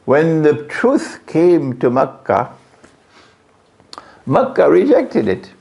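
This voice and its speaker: Slow, gravelly male preacher. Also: medium pitch, darkish tone. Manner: rhetorical questions to the audience, repeats key phrases. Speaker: Islamic preacher. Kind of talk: religious lecture to a live audience. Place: lecture venue. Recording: dull lo-fi lecture recording.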